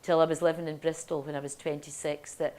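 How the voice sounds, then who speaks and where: An older woman with a Motherwell Scottish accent, softened by Glasgow, reading her poems aloud and talking casually between them as a poet giving a lecture. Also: sits low, little pitch movement. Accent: Motherwell Scottish, Glasgow-tinged. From Scotland. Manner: rhythmic, deliberate reading of verse, then chatty asides with ums. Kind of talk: poetry reading and lecture talk.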